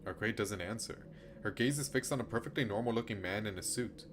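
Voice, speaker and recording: A man's voice: on the low side, fast talker, noisy background. A man streaming a game, reading a visual novel aloud and adding casual commentary.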